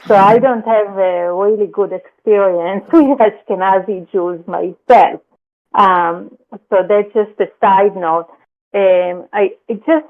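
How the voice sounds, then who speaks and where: Give me a woman on a tinny phone line, speaking slowly with lots of ums. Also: mid-range voice. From Israel. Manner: hesitant, many ums. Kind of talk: casual conversation.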